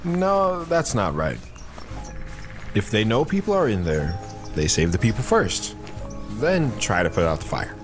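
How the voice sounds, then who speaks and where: A man's voice: fairly low pitch, sing-song, a little slow, long pauses. An actor playing a protective soldier father who talks patiently and earnestly to his daughter in an audio drama. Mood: patient, serious. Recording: music behind the voice.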